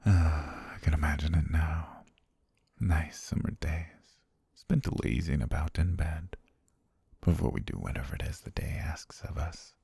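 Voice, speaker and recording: A man performs an obsessively devoted butler for an ASMR roleplay, courtly and patient with menace underneath. The voice is extremely low and very husky, sinking into gravelly creak. He coaxes softly in formal, half-whispered phrases, close and dry. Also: measured pace, no room sound, exaggerated voice. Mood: dreamy, adoring. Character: possessive, lovestruck yandere male butler, patient and menacing.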